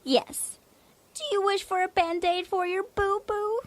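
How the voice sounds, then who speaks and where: A woman's voice: extremely high pitch, steady tone, dry recording. A woman voicing a domineering, mocking female leader of a savage tribe in a comedic fantasy audio drama. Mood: mocking, menacing. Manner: mocking, imperious, drawn-out delivery.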